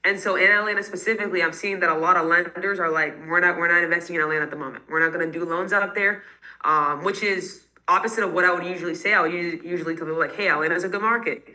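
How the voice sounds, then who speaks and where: A woman answering callers' real estate questions in a live call, casual and quick with many fillers. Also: on the low side, fairly even intonation, sharp tone, rough recording.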